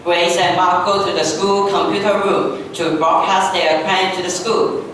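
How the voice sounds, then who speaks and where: A woman's voice: on the low side, steady tone, a little slow, fairly bright tone. Distant, echoey woman narrator. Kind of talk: scripted narration, readers' theatre. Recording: very echoey room, distant mic, noisy.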